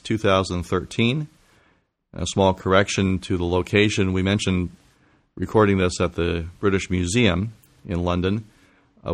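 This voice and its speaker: A man hosting a podcast, asking halting questions full of restarts. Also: low voice, no echo. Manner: halting, many fillers and restarts. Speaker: podcast host. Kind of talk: interview questions.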